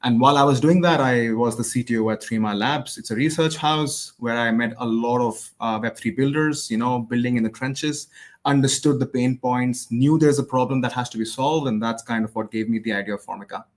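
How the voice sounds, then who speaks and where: A man speaks quickly in a mid-range voice, as a startup founder guest. He tells his story in a casual, conversational way. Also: medium pitch.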